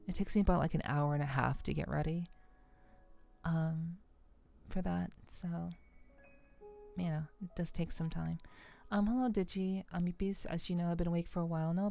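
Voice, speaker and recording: A soft-spoken woman streamer chatting casually and unhurriedly with her live viewers in an ASMR style, rambling a little hesitantly. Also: lowish voice, gravelly. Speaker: livestreamer, VTuber host. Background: faint music.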